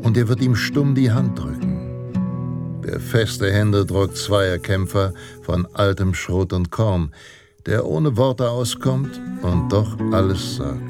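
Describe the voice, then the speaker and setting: Slow, low-voiced Western narrator over guitar. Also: male voice, animated. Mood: solemn, admiring. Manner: grave, heroic, deliberate. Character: solemn narrator of a Western hero fantasy. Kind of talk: dramatic scripted narration, radio play. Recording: loud guitar music underneath. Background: Western guitar music.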